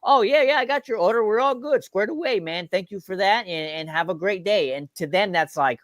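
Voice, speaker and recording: A man talks casually in a slightly high register, recorded very dry. His speech is slangy, with phrases repeated for emphasis. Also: fairly quick, fairly bright tone, no echo. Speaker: company team member on a panel. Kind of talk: casual chat.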